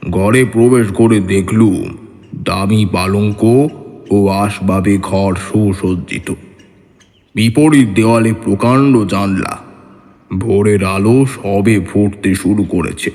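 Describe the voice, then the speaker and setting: A male narrator tells a horror story in a measured, literary way. His voice is on the low side and very slow. It rings with long reverb over background music. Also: a little mellow. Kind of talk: scripted dramatic narration. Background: clear background music.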